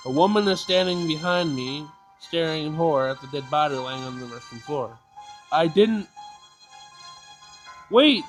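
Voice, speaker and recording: High-voiced man doing an expressive let's play. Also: moderate pace, pauses often, low-quality recording. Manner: expressive reading, stumbles and rereads. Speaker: gaming video host. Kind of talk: let's play, reading game text aloud dramatically with commentary. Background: game music.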